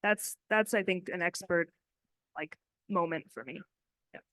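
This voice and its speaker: A woman's voice: lowish voice, unhurried, a bit bright, no echo, clean studio sound. A woman speaking candidly and informally as a panelist, in a conversational style. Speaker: panelist, software developer. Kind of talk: conversational conference panel talk.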